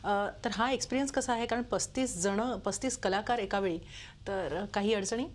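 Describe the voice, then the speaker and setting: Lady news host doing an interview, average pitch, bit of noise behind her. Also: moderate pace. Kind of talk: broadcast interview hosting. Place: TV news studio.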